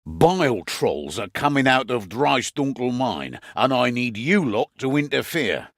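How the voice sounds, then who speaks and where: An actor playing a gruff, wry middle-aged soldier spymaster with a British accent and gravelly voice, briefing troops in a fantasy video game. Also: male voice, medium pitch, big pitch swings, unhurried, no room sound, studio quality. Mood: grim, wry. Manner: sardonic, colloquial, theatrical.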